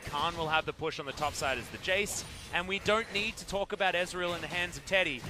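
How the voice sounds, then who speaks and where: A man commentates a live esports match in a slightly high-pitched voice. He moves between calling the play and chatty banter with a co-caster. Music plays clearly behind him.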